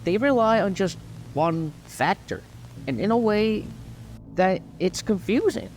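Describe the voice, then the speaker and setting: A man with a fairly high voice narrates a scripted video essay. His pitch swings in a very lively way as he earnestly stresses his points, now and then tripping on a word. Music plays under his voice. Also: rough recording.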